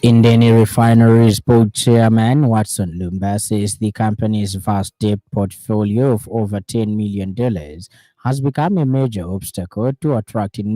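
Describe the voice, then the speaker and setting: A male radio newsreader with a Zambian accent delivers a scripted bulletin in a low, very dark and mellow voice. The studio sound is dry and close. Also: steady tone, moderate pace, no echo, faint music behind, poor audio. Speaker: radio news reader. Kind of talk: scripted news bulletin reading.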